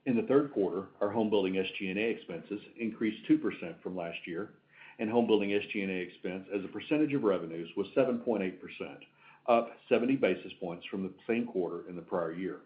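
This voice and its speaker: A man's voice: deep voice, brisk. A man delivering prepared financial figures as a company executive on an earnings call. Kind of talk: scripted financial presentation. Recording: tinny phone-like conference line.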